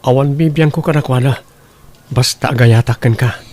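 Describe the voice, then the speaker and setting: A man's voice: mid-range voice, normal speed, darkish tone, lightly acted. A man playing a plain, familiar village man in an audio drama, with an Ilocano Filipino accent. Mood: affectionate. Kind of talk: melodramatic radio-style drama acting.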